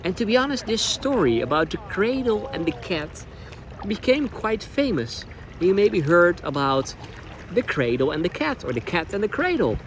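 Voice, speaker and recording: A man's voice: high voice, very animated. A man telling stories as a tour guide, in simple, repeated phrases, outdoors on the water. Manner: simple phrasing with deliberate repetition. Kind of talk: storytelling tour commentary. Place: outdoors on a small boat on the water. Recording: clear outdoor noise, water sounds.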